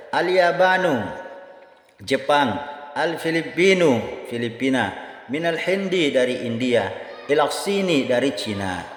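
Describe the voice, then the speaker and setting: A man's voice: mid-range voice, slight background noise. A man with an Indonesian accent giving a language lesson, reading phrases aloud, repeating them and explaining them didactically. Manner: didactic, repeats phrases and asks himself questions. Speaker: Arabic language teacher. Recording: echoey room with long reverb.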